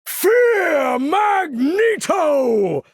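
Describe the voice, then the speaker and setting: A male actor playing a proud, imperious older supervillain commander in a video game, with a gravelly, husky voice and grandiose, menacing, formal delivery. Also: extremely high pitch, sing-song, drawn-out words, no echo, raised voice, clean studio sound, exaggerated voice. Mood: booming fury. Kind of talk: theatrical video game character voice lines.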